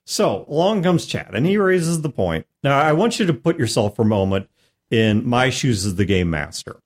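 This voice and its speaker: A man talks as a podcast host and game master, casually recounting a tabletop session. His delivery is animated and emphatic, with pitch that moves around a lot as he builds the story. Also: moderate pace.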